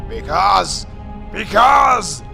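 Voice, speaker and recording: A grandiose, indignant wizard lad acted out by a man in a comic story reading. He declaims loudly and dramatically in a high voice with music behind him. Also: big pitch swings, creaky, heavily acted. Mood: impassioned. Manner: grandiose, halting for dramatic effect.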